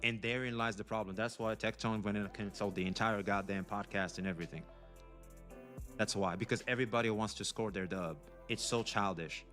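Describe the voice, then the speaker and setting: A man's voice: lowish voice, steady tone, a little fast, fairly bright tone, faint music behind. A man giving opinionated commentary in an emphatic, scolding way.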